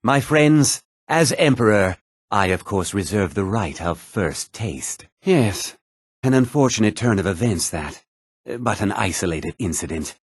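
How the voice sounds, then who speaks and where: An actor playing a pompous, self-satisfied emperor in a fantasy game, speaking in a grand, measured manner. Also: male voice, fairly low pitch, lively intonation, breathy edge, no echo, clean studio sound. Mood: pompous, pleased.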